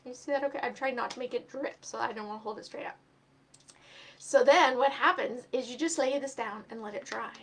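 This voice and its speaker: A woman hosting a children's storytime, expressive and speaking directly to young listeners as she reads and explains step by step. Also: a little high, brisk. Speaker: storytime host and reader for children. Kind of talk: animated storybook reading and craft instruction for children.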